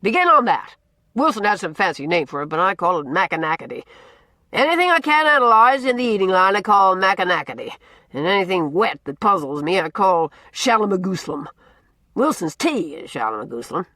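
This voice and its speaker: A woman voicing a blustering, gruff old man who booms out orders and repeats himself as he teases. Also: sing-song, fairly quick, sharp tone, no echo. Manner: booming, repeats himself, teasing.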